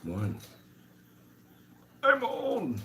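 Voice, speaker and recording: A man's voice: a little high, huge pitch swings, extremely slow, sharp tone, slight background noise. A man in his forties chatting casually to live-stream viewers at home, rambling and self-amused while he does chores around his guinea pigs. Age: 40s. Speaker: animal rescuer streaming to viewers.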